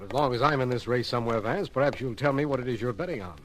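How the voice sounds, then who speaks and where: Man playing a fast-talking, wry investigator. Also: mid-range voice, a bit bright, slight background noise, close to an everyday voice. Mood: exasperated. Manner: dry, mildly exasperated. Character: Markham, wry, skeptical male investigator sidekick. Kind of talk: old-time radio drama acting.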